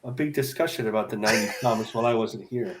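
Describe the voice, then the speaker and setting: A man's voice: on the low side, quick pace, a crowd in the background. A man who has just turned sixty, co-hosting a casual podcast with dry, wry remarks. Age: 60s. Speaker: podcast co-host, comic artist.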